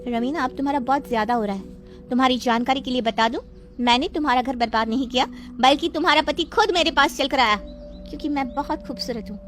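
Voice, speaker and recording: A woman voicing a vain, spoiled young wife in a moral story, haughty, sharp-tongued and theatrical. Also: high voice, brisk, soft background music, close to an everyday voice. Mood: haughty, offended.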